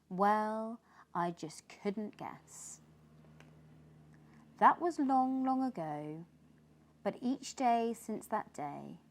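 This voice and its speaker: A woman with a British accent narrating a children's story dramatically, as an expressive read-aloud storyteller. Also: mid-range voice. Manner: expressive, with hushed dramatic passages.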